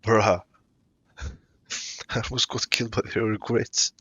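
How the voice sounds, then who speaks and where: Low-voiced, slow-talking man reacting to a game. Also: fairly even intonation, sharp tone, muffled recording. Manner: unhurried musing, casual swearing. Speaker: gaming streamer. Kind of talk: casual gameplay reaction commentary.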